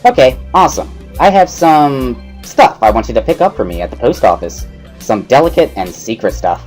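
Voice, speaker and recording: A man voicing a paranoid, excitable male student in a visual novel reading, agitated, suspicious and ranting. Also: mid-range voice, normal speed, faint music behind, pitched above the performer's own voice, close to an everyday voice. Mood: eager, secretive.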